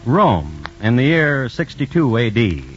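An actor playing a wry, erudite storyteller, hosting and narrating an old radio crime drama in a dry, measured manner. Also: male voice, medium pitch, big pitch swings, faint music behind. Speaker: host and narrator. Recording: muffled old radio recording.

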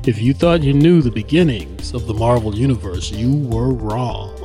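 A man's voice: medium pitch, normal speed. A man hosting a scripted podcast news segment. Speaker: podcast host. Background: faint music.

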